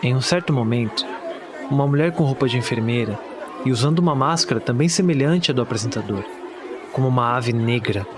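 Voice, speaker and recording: A man's voice: medium pitch, brisk, a crowd in the background, noisy background, lightly acted. A man with a Brazilian accent playing a terrified witness who recounts a horror story in the first person, dramatic and anguished. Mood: tense dread.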